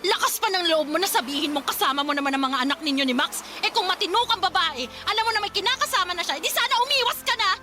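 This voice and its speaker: A woman's voice: very high voice, lively intonation, fast. A woman playing a fiery, confrontational betrayed girlfriend in a melodramatic radio drama, scolding shrilly and emphatically. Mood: enraged. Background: background music.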